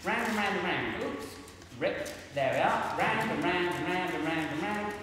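A young man giving an energetic, self-deprecating maths demonstration on stage in a large hall, chatting with the audience while using a handheld mic that he sometimes puts down. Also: high voice, unhurried, far from the mic, noisy background. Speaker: maths performer at a variety show. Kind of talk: live stage talk with hands-on demonstration. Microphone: handheld mic, sometimes set down. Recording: echoey hall, voice picked up from afar.

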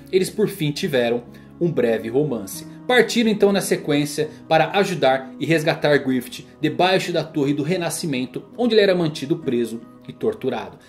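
A man's voice: on the high side, darkish tone. A man with a Brazilian accent telling a story in a solemn, theatrical scripted narration. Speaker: narrator of anime and manga explainer videos. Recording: voice-over with music underneath. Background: music.

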